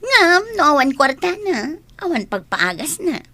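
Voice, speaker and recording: A woman's voice: high voice, very animated, a little slow, sharp tone, full character voice. A woman with an Ilocano accent playing a sassy, money-minded young student in a radio drama, teasing and theatrical. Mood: scornful.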